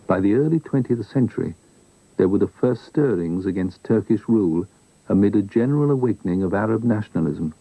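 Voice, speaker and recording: A man reading scripted documentary narration in a measured, formal manner. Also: on the low side, expressive, vocal fry, warm tone, no echo, poor audio.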